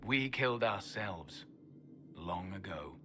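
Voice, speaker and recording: Game role: ancient elven sentinel, deep yet piercingly crisp, grave and fatalistic, faint music underneath. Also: male voice, animated, takes it slowly, pushed and bright, full character voice. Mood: weary, resigned. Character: solemn, world-weary ancient male elf temple guardian. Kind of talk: dramatic video game acting.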